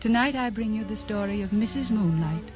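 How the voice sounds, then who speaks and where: An actress playing the spirit of romance, narrating an old radio drama in a lyrical, intimate, dramatic manner. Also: female voice, medium pitch, a little fast, lightly acted. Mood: presenting. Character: Romance personified, dreamy, tender female storyteller. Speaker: narrator and host. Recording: dull vintage radio recording with music.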